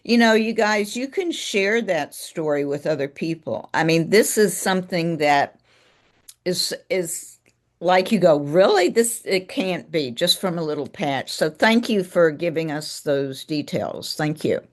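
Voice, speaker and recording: A woman's voice: fairly low pitch, lively intonation, a little slow. A woman hosting a live webinar over a video call, encouraging callers and leaning on "you know" fillers. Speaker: webinar host.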